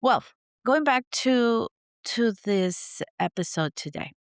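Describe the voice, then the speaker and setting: A raspy, hoarse woman with a sore throat hosting her podcast in an unscripted, rambling chat, laughing at herself. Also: fairly high pitch, lively intonation, takes it slowly, no room sound, clean studio sound. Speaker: podcast host.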